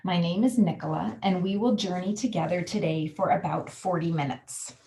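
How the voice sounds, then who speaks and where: A woman guiding a relaxation meditation, giving calm, soothing, measured instructions. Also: fairly low pitch, vocal fry, rough recording. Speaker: yoga nidra guide.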